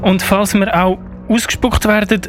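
A man's voice: high-pitched, little pitch movement, normal speed, close to an everyday voice. A male performer playing a curious, cautious boy with a hesitant manner in a Christmas radio play for children. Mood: willing. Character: Fred, curious, cautious but willing boy.

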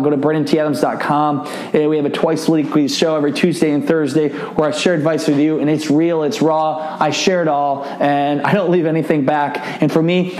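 A man's voice: a little high, darkish tone. A man with a Midwestern American accent from Iowa delivers a solo motivational podcast monologue. He talks in an informal, earnest way and puts emphasis on his points. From Iowa, United States. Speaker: podcast host.

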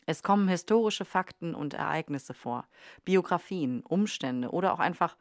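A woman's voice: on the low side, expressive, normal speed, dry recording, clean studio sound. A woman host reading scripted documentary narration for a podcast. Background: faint music.

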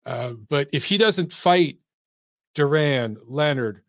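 A man's voice: fairly high pitch, lively intonation, slow pace, dry recording. A man from Detroit hosting a call-in sports show, talking in a chatty, emphatic way. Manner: chatty, emphatic, swears casually. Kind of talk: casual sports talk.

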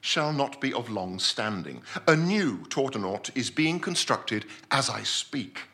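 A man's voice: medium pitch, sing-song, a little slow, sharp tone, exaggerated voice. A man performing a composed, pompous scientist in a formal, theatrical short-story reading. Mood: formal, regretful. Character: pompous, composed male scientist narrating a sea tragedy.

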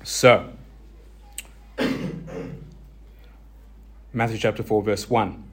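A man's voice: on the low side, fairly even intonation, very slow. Man, casual sermon, conversational, filler words, questions to listeners. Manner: conversational, many fillers and restarts, asks the audience questions. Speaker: preacher. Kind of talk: informal sermon teaching.

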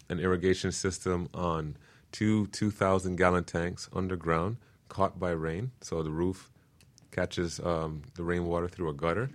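A man speaking as an expert guest in a casual interview, explaining practical things earnestly and step by step, often checking in with "right?". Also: deep voice. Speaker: guest permaculture designer explaining his work.

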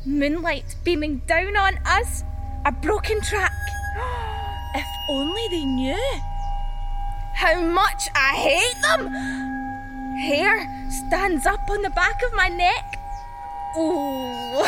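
A woman's voice: very high voice, sing-song, extremely slow, pauses often, full character voice. A woman playing a giddy, unstable teenage girl alone in the woods in a horror radio play, sing-song and gasping. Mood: eerie, brooding. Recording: music behind the voice. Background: music.

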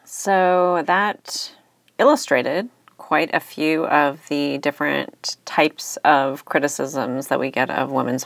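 A woman lawyer hosting a solo podcast, speaking in a reflective, measured, candid way with occasional vocal fry and uptalk. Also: low-pitched, no room sound.

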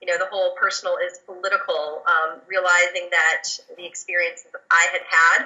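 Bright-voiced woman, German-born, lo-fi audio. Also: on the low side, level tone, fairly quick. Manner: reflective, storytelling, frequent fillers. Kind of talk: casual podcast conversation.

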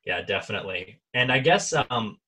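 Bright male co-host, rambling questions. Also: on the low side, big pitch swings, moderate pace, breathy edge. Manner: conversational, rambling questions with restarts. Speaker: podcast co-host. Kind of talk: casual interview questions.

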